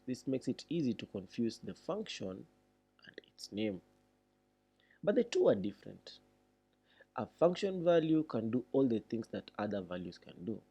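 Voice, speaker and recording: A man giving a read-along programming tutorial, reading deliberately and thinking aloud as he explains. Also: lowish voice, mellow, breathy edge.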